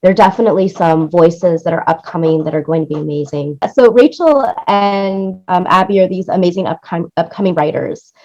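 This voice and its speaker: A woman's voice: lowish voice, a little fast, few pauses, warm tone. A woman giving conversational interview answers as a guest, explaining things and often checking in with "right?" or "you know". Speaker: interview guest, music supervisor and producer. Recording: dull, lo-fi audio.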